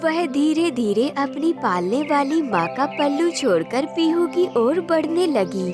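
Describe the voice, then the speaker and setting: A woman's voice: sits high, very animated, slow. A woman narrating a scripted children's cartoon story. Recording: music behind the voice. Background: background music.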